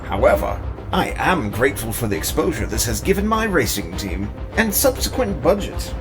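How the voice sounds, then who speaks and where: A man's voice: mid-range voice, big pitch swings, normal speed, music in the background, natural voice. A man playing a cocky, self-important racing team owner in a scripted sci-fi audio drama, indignant and showy. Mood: indignant, smug.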